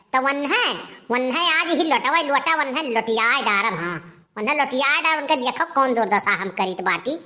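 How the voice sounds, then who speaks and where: A woman doing a fiery, bossy village wife. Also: sits high. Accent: Awadhi. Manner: scolding, loud calling. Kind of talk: cartoon comedy voice acting.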